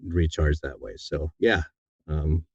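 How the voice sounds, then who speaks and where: A man with a deep, dark voice chats casually as an interview guest. He rambles and corrects himself, sounding keen on what he does. The recording is dry and a little dull. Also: takes it slowly, creaky, husky, no echo. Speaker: audiobook narrator being interviewed.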